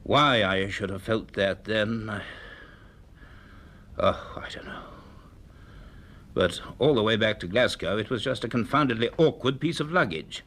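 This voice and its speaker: An actor playing a curious, cautious university professor in a radio drama, speaking in measured, formal, sometimes hesitant phrases. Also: male voice, on the low side, lively intonation, fairly bright tone, exaggerated voice. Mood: reflective narration. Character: curious, cautious male university professor narrating strange events. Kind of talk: radio drama acting and narration.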